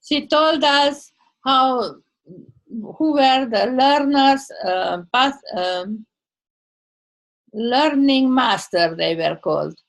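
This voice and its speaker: Older woman, English; slow, halting, high-pitched delivery over a video call. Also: expressive, low-quality recording. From Transylvania, Hungary. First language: Hungarian. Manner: halting, searches for words, non-native grammar. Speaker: Toastmasters member and blogger. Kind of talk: casual video call chat.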